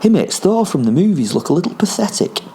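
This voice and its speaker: A man's voice: high voice, sing-song, fast talker, warm tone, breathy edge, voice pushed up, heavily acted. A man voicing a grumpy, bloodthirsty male spirit werewolf in a story, sweary, emphatic and goading. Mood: awed, amused.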